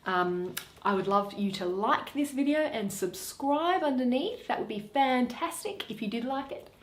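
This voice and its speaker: A woman host talks casually to her viewers. Her voice is expressive, with a wide range of pitch, and the room adds a noticeable echo. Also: moderate pace. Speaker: host and reader of a Shakespeare series. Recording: roomy, echoing.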